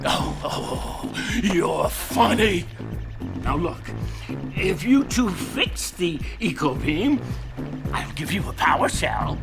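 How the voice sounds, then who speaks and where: A self-serving cartoon mayor for a video game, pompous and prone to stammering. The voice is high and swoops around at a slow, drawn-out pace. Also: male voice, sing-song, creaky, heavily acted. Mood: wheedling. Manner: stammering, blustering. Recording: game music behind the voice.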